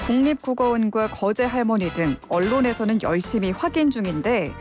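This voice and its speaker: A woman hosting a news radio program, speaking in a measured, polished announcer's delivery. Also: on the high side, lively intonation, over music.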